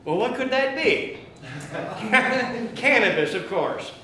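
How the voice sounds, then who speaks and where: A man giving a loud, impassioned speech at an activist meeting, rallying the crowd with many fillers. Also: high voice, lively intonation, takes it slowly. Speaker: guest speaker at an activist meeting. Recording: very echoey room with faint noise.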